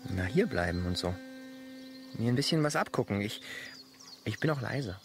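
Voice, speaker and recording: A man's voice: fairly low pitch, lively intonation, moderate pace. An actor playing a hesitant, polite young man of nineteen in a radio play, awkward and eager, stammering a little. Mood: tentative. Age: young adult. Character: awkward, hesitant nineteen-year-old lad, eager to learn. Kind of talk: naturalistic German radio play acting. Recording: noisy outdoor ambience with birds. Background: birds and outdoor nature sounds.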